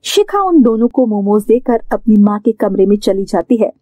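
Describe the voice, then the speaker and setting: A woman's voice: medium pitch, lively intonation, moderate pace, dark tone, no echo. A woman reading scripted narration for a children's moral story.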